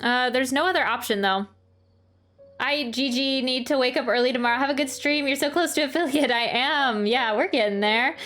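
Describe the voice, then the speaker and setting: A woman's voice: sits high, moderate pace, fairly bright tone. A young adult woman chatting casually on a game livestream in a friendly, giggly, slightly apologetic way.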